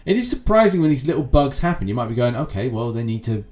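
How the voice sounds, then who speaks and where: A man's voice: mid-range voice, sing-song, quick pace, darkish tone. A man giving casual, rambling gaming news commentary as a channel host, with chatty asides.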